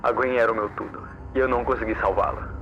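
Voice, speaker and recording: A man's voice: mid-range voice, steady tone, fast talker, lo-fi sound, noisy background, lightly acted. A man voicing a grieving, guilt-ridden young man turning monster, reading a despairing confession in an analog horror story. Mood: despairing, remorseful.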